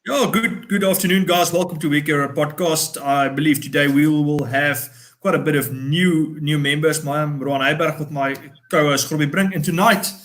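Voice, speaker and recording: A man's voice: on the high side, moderate pace, a bit bright. A man hosting a podcast interview in a casual, easygoing way, laughing and restarting his sentences. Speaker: podcast host.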